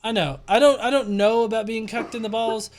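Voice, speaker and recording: A man's voice: sits high, fairly even intonation, fairly quick. A man hosting a casual commentary stream, talking in a sarcastic, profane, rambling way. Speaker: host.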